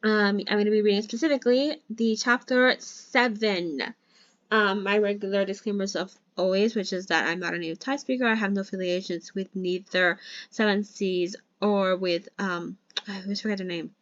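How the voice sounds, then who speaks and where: A woman's voice: mid-range voice, steady tone, normal speed, no room sound. Brief: a woman, non-native English, hosting a chatty novel read-along; trips over words and restarts, on a dull lo-fi mic. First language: not English. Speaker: channel host reading a novel aloud.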